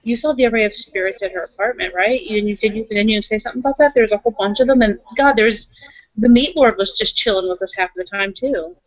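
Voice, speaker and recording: A woman improvising roleplay conversation, thinking aloud haltingly and often repeating her phrases. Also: mid-range voice, no echo, dull audio. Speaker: tabletop roleplaying player and game runner.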